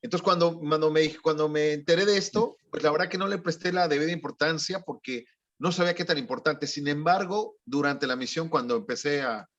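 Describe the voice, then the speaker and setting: A man's voice: fairly high pitch, brisk, a bit bright. A man, a former missionary, frankly recalling his past in a conversational interview. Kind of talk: candid interview recollection. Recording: dull lo-fi audio.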